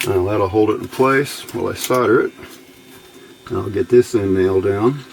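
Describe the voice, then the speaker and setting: Male hobbyist instructor, gravelly, folksy, casual workbench walk-through, muttering as he goes, noisy recording. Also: on the low side, moderate pace. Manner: folksy, muttering to himself while working. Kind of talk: casual step-by-step demonstration while working. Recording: clear background noise.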